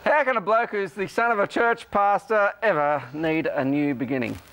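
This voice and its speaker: A man hosts a religious talk show from a studio. His voice sits a bit high, and he asks earnest, leading questions with emphasis. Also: moderate pace, fairly bright tone, poor audio.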